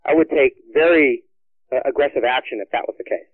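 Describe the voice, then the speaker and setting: A man working as a store manager, answering a call in a hesitant, apologetic way with many halting restarts. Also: medium pitch, slightly rough, no echo. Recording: tinny phone line.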